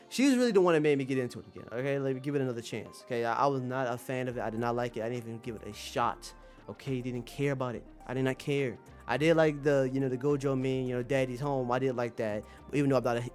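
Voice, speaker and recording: A man talking casually to his viewers as a streamer, rambling in an emphatic, slangy way and repeating phrases for emphasis. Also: medium pitch. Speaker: streamer and manga commentator.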